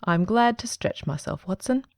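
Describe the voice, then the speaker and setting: A woman voicing a calm, precise middle-aged male detective who tells his tale in a measured, composed way in a dramatic audiobook reading. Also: medium pitch, dry recording, natural voice. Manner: measured, dry, composed storytelling.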